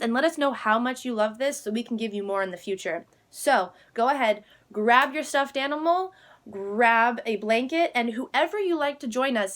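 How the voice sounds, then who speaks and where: A woman's voice: a little high, a bit bright. A woman hosting a story time for young children, speaking to them in an upbeat, enthusiastic and encouraging way.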